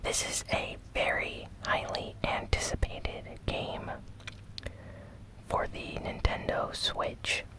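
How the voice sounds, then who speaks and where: A host whispering a gaming news recap in a gentle, deliberate, breathy ASMR style. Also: low-quality recording. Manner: slow, gentle, deliberate delivery with short phrases.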